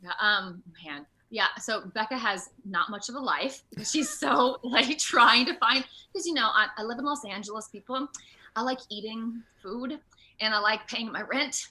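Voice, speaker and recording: An American woman talking casually in an interview over a video call, with an animated, rambling, self-deprecating manner. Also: fairly high pitch, moderate pace, rough recording. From Ohio, United States. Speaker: actor being interviewed.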